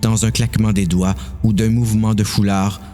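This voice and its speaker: Low-voiced Quebec man narrating horror. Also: level tone, normal speed, warm tone, soft background music. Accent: Quebec French. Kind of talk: dramatised horror story narration.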